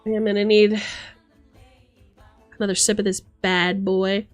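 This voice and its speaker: A woman's voice: mid-range voice, little pitch movement, a little slow, no room sound, soft background music. A woman doing casual livestream commentary as host, playful and theatrical, mixing gushing exclamations with dry asides. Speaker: streamer hosting a live book look-through.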